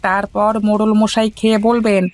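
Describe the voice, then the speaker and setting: A man voicing a fatherly village contest overseer in a cartoon, giving orders in a measured, instructive way. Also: high voice, level tone, slight background noise, lightly acted. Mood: instructing. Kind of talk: Bengali moral-story cartoon acting.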